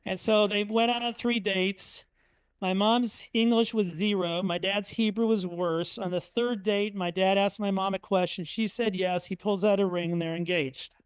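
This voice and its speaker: High, crisp-voiced man telling family stories. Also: fairly even intonation, moderate pace, no echo, low-quality recording. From Indiana, USA. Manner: conversational, anecdotal, frequent um and uh. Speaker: author speaking at a museum talk. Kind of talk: storytelling presentation with slides.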